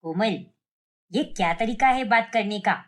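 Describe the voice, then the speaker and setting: Woman voicing a sharp, scolding Indian mother-in-law. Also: medium pitch, measured pace, voice pushed down, natural voice. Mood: angry, scolding. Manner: sharp, nagging, indignant. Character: short-tempered, scolding middle-aged Indian mother-in-law. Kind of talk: cartoon family drama story.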